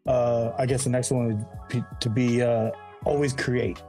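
A man's voice: mid-range voice, little pitch movement, moderate pace, mellow. Middle-aged guy, podcast guest, loose and slangy, easygoing talker, faint music behind. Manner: laid-back, rambling, slangy with frequent "you know what I mean". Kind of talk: casual interview chat.

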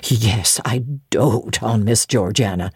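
A woman's voice: very deep voice, slow, a little mellow, breathy edge, no room sound, studio quality, voice pushed down, full character voice. A woman voicing a sour, scornful servant woman in a storybook, with a disdainful, gossipy manner. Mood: fawning.

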